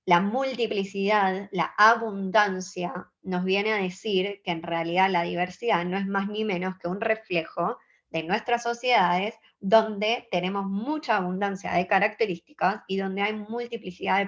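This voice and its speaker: A woman in her twenties with an Argentine accent, giving an earnest, measured conference talk and checking in with her audience. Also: mid-range voice, a bit bright, muffled recording. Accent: Argentine Spanish. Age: 20s. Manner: earnest, measured, engages the audience with short questions. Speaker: conference speaker.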